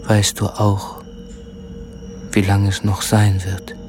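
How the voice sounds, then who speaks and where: A brooding, tormented man in a literary radio drama. His lowish voice moves extremely slowly, in halting phrases that often sink into a whisper. Music plays behind him. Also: monotone. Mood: brooding, uneasy.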